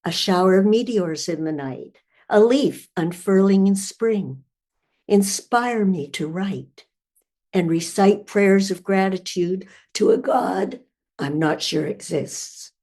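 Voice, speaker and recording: An older woman reading her own poem in a literary style, with measured, deliberate phrasing. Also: medium pitch, a little mellow.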